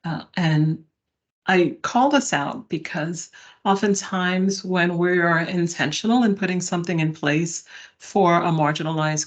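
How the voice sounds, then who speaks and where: A woman's voice: sits low, muffled recording. A middle-aged woman giving a webinar talk, conversational and emphatic, often checking in with her listeners. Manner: conversational, emphatic, addresses audience with "y'all" and "right?". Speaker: presenter. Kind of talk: webinar presentation.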